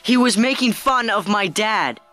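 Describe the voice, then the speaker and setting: Woman playing a bright-voiced, troubled schoolboy. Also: mid-range voice, expressive, measured pace, soft background music. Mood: sullen, defensive. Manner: sullen, defensive. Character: troubled, defensive, intelligent schoolboy. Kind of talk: audio drama acting.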